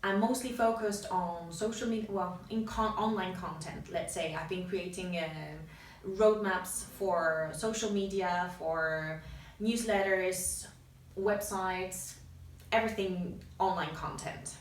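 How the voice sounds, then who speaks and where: A woman from Spain with a Spanish accent, co-hosting a podcast in casual, energetic chat and laughing often. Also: lowish voice, slow, slight background noise. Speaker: podcast co-host. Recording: echoey room, mic at a distance.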